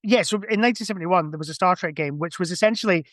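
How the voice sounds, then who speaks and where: A man's voice: high voice, racing, fairly bright tone, no echo, clean studio sound. A man chatting casually as a podcast guest, giving rambling, conversational explanations. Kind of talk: casual chat.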